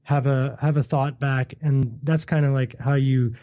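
A man's voice: mid-range voice, fairly even intonation, measured pace, warm tone, dry recording, clean studio sound. A man from northern Canada with a Canadian accent, a podcast guest earnestly explaining his views in casual conversation, often restarting his words. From Canada, Thunder Bay.

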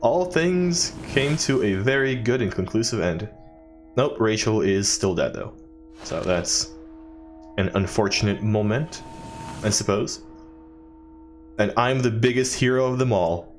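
A man's voice: lowish voice, measured pace, long pauses, faint music behind. A young man giving casual, joking let's play commentary as a gaming YouTuber. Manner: wry, joking.

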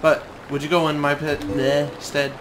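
A man reading as a gentle village storyteller with a halting drawl. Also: fairly high pitch, little pitch movement, background noise, natural voice. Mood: gentle, wistful. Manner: slow halting read with a put-on drawl. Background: game music.

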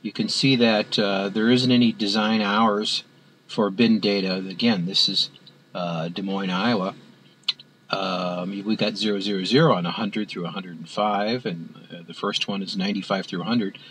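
A man walking through a spreadsheet on screen as an instructor, talking in a halting way with many fillers and restarts. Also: on the low side, fairly even intonation, a bit bright, a little hiss or hum, poor audio. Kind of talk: screen-recorded software walkthrough.

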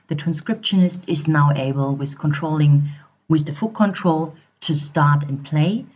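A woman narrating a product demonstration step by step in a halting way, as a voice-over. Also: deep voice, a little mellow, slightly rough. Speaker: software demo presenter. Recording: dull, slightly muffled voice-over.

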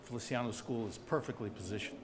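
A man's voice: mid-range voice, fast, in a large hall. A middle-aged man speaking casually and off the cuff as a university official. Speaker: university provost, passing guest. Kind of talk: casual unscripted remarks. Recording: noisy ambient indoor background.

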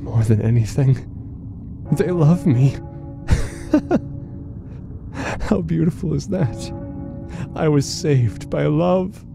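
A man playing a formal but fragile, unhinged broadcaster in an audio horror drama, speaking haltingly and theatrically. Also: on the high side, animated, creaky, music in the background, exaggerated voice. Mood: fragile, adoring. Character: unhinged male broadcaster, grave, formal, fragile, devoted to his council.

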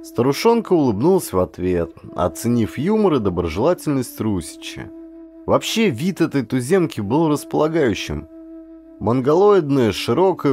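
A man reading scripted adventure narration in an expressive, dramatized storytelling manner. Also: fairly low pitch. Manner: expressive, acts out dialogue. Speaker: audiobook narrator, actor.

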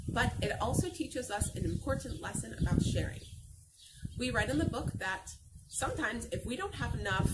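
A young adult woman reading a picture book aloud to children as a teacher, expressively, asking questions and answering them. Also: on the high side. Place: outdoor patio by a garden. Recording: noisy outdoor camera recording.

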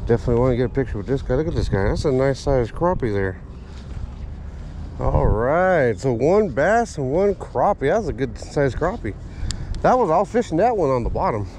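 A man in a relaxed, chatty commentary while he fishes at a park pond, voicing his thoughts to a chest-mounted action camera. His voice sits at an ordinary middle pitch, and faint outdoor ambience hums behind him. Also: a little fast, slight background noise. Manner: easygoing, talks to himself and the camera, excited by catches. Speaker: fishing vlogger. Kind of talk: casual running commentary while fishing. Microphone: chest- or head-mounted action camera.

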